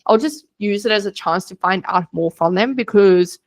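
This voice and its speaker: A woman's voice: medium pitch, moderate pace. Young woman coaching on a call. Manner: direct, practical, casual with fillers. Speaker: business coach. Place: video call. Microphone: video call.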